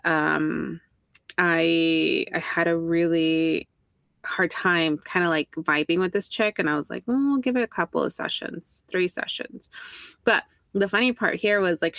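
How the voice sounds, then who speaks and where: A young woman with a Texan accent hosting a casual podcast chat, self-mocking and quick to laugh. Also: lowish voice, creaky, fairly bright tone, dry recording. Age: young adult. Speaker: podcast host.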